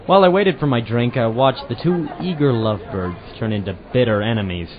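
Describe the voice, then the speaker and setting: An actor playing a hard-boiled, wisecracking private eye in an old-time radio detective drama, with a wry, sardonic delivery. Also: male voice, mid-range voice, fairly quick, noisy background, close to an everyday voice. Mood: narrating dryly. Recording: muffled amateur radio-drama recording with music.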